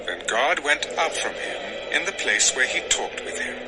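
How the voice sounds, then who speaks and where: A man narrating dramatized scripture as a measured, expressive storyteller. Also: mid-range voice, heavy background noise. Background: music.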